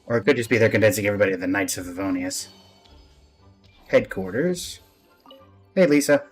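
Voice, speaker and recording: A man's voice: fairly low pitch, very animated, brisk, slightly rough, faint music behind. A man streaming a video game with casual, chatty commentary.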